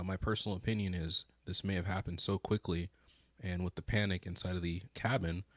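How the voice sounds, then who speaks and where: A man's voice: deep voice, no echo. A man narrating a scripted documentary in a solemn, dramatic, suspenseful way. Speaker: video narrator, channel host. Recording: loud music under the voice. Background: loud music.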